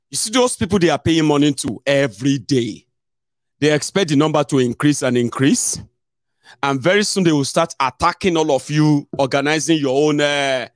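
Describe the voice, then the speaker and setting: A Nigerian man in his thirties giving sarcastic, animated political commentary into a desk microphone, with some Pidgin mixed in. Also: on the high side, no room sound, studio quality. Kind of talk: live stream commentary. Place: indoor home studio.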